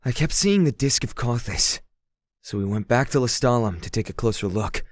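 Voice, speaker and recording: A man's voice: medium pitch, expressive, moderate pace, no room sound, studio quality, natural voice. A young man acting as a reluctant, self-doubting prince in an audio drama, speaking in a casual, hesitant, brooding way. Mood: weary recounting. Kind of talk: audio drama acting and first-person narration.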